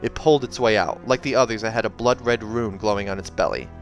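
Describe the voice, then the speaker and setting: A man hosting a read-aloud of a novel, narrating in an expressive, dramatic style. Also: on the low side, a little fast. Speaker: host reading a novel aloud. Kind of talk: dramatic audiobook narration with casual asides. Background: soundtrack music.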